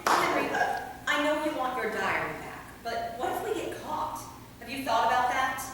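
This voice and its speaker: Firm, lively-pitched vampire woman played by an actress. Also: moderate pace. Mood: worried, determined. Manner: firm, earnest. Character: worried, loyal, sensible female vampire, long-lived. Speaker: stage actor in a staged reading. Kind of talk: live staged play reading. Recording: echoey hall from far off, faint noise.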